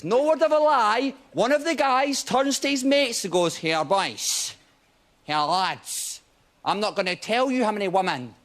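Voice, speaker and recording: A Scottish man in his twenties doing a stand-up set on stage, telling stories quickly and with punchlines. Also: high-pitched. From Scotland. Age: 20s. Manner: quick, animated storytelling with punchlines. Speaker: stand-up comedian. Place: TV studio stage before a live audience. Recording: audience laughter and crowd noise.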